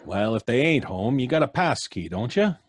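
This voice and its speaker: A man's voice: medium pitch, sing-song, normal speed, noisy background, lightly acted. An actor playing a brusque, impatient tough city policeman in old-time radio suspense style, blunt, slangy and bossy. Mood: gruff, skeptical.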